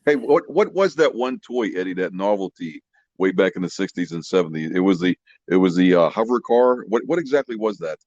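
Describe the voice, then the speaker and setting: A man's voice: sits low, lively intonation, a little fast, a little mellow, no echo. A man chatting casually as a podcast co-host, inquisitive and often repeating words, over a muffled connection. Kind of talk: casual chat.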